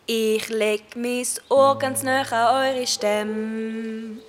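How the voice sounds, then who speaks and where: Solemn girl reciting a poem super slow, music behind, radio play. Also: fairly high pitch, steady tone.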